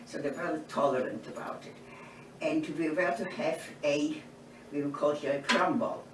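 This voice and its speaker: A woman, from eastern Poland, giving oral history testimony in an unhurried storytelling way, often restarting her phrases. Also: low voice. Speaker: Holocaust survivor interviewee. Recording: roomy, distant mic, background noise.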